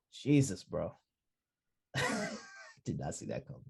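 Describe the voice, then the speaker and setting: A man co-hosting a sports podcast in casual chat, excitable and halting, repeating words as he searches for what to say. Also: deep voice, creaky, husky. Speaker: podcast co-host.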